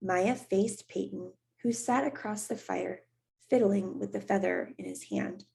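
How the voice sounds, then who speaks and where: A woman teacher reading a children's novel aloud in a steady, unhurried voice. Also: medium pitch, creaky, low-quality recording. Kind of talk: read-aloud storybook narration.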